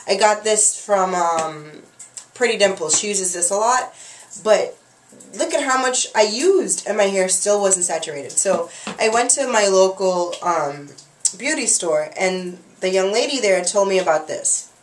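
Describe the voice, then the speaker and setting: A young woman vlogging about her hair at home, chatting casually with lots of fillers, a little nasal from a cold, recorded on a webcam. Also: mid-range voice. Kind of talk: casual chat to camera. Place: small bathroom at home. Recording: echoey small room.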